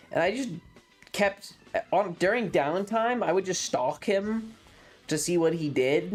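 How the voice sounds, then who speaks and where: Casual, sardonic streamer over game music. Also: mid-range voice. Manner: sweary, sardonic, animated retelling with acted-out dialogue. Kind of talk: casual storytelling to stream chat. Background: video game music.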